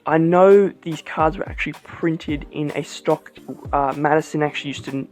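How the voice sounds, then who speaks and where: A man casually reviewing a deck of cards as a channel host, relaxed and rambling with many fillers. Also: on the high side, moderate pace, faint music behind. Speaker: playing card reviewer. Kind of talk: casual vlog product review.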